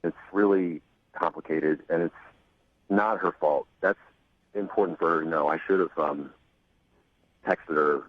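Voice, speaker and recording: A man's voice: low-pitched, steady tone, no echo. Hesitant man on a muffled phone line. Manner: halting, hesitant, many pauses and restarts. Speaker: caller on the phone. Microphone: telephone.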